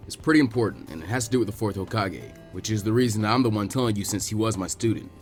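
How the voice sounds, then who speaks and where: A man voicing a gruff older ninja sage in a story reading, a blunt mentor who explains weightily and haltingly. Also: on the low side, soft background music, voice pushed down, close to an everyday voice. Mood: serious, hesitant. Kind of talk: character voice in fan fiction reading.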